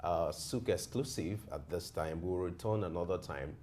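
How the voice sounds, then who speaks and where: A Nigerian man co-hosts with a very deep voice and an upbeat, rhetorical manner. A faint ambient hum sits under his speech. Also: moderate pace, darkish tone. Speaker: co-host. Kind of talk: broadcast interview hosting.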